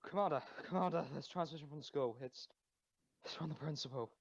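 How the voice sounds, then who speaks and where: A man's voice: on the high side, a little fast, no room sound, lo-fi sound. A man playing an anxious, deferential military medic aide in an audio drama. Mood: urgent pleading. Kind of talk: fan audio drama acting.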